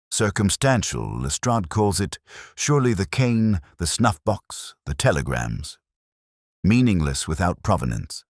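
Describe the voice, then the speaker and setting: A man voicing a coolly logical, assured detective in an audiobook, speaking in measured, precise, formal phrases. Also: extremely low pitch, breathy edge, no echo, studio quality, heavily acted.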